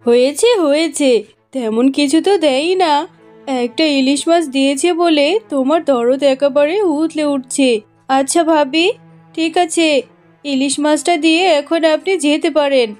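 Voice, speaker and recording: A woman's voice: high voice, moderate pace, darkish tone, soft background music, lightly acted. A woman voicing a spiteful, stingy younger fox sister-in-law in a cartoon story, sarcastic and dismissive. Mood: scornful. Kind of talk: dramatised Bangla cartoon story.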